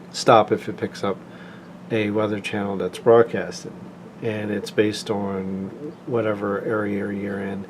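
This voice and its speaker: Low-voiced man giving an informal product review. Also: darkish tone, slight background noise. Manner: halting, unscripted, plain-spoken explanation.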